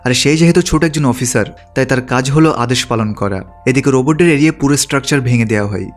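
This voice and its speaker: A man's voice: medium pitch, fast talker. A man reading scripted narration that retells a film's story as a recap narrator. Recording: background music under the voice. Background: music.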